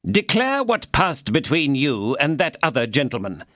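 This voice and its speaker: A man's voice: on the high side, big pitch swings, fairly bright tone, dry recording, clean studio sound, pitched above the performer's own voice. A man voicing a stern, officious police commissary interrogating a prisoner. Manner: interrogating, officious, slow and emphatic.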